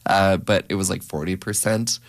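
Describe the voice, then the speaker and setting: A young man co-hosting a radio show in a studio, talking in a relaxed, hesitant way with many fillers. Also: low voice, moderate pace, dry recording. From Canada. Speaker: radio co-host. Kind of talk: casual radio chat. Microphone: studio microphone.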